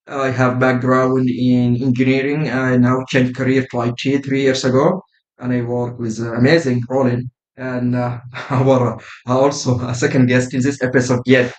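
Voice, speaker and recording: A man in his thirties from Sudan, a guest on a podcast, speaking in a measured way and often adding "right?" as he talks. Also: mid-range voice, little pitch movement, reverberant, poor audio. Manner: measured, with frequent "uh" and "right?" tags. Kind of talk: casual podcast conversation.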